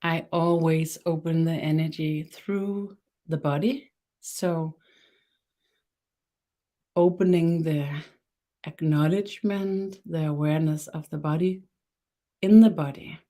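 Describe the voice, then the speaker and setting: Slow, mellow-voiced Danish woman. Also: sits low. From Denmark. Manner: slow and measured, halting with fillers and restarts; soft, guiding instructions. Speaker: webinar host, energy worker. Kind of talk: live-streamed webinar with guided body meditation.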